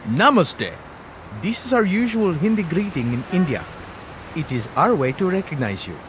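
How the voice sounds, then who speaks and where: A man's voice: on the high side, sing-song, normal speed, fairly bright tone. A man playing a friendly, talkative tuk-tuk driver in a scripted role-play interview, exclaiming about the traffic. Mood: chatty.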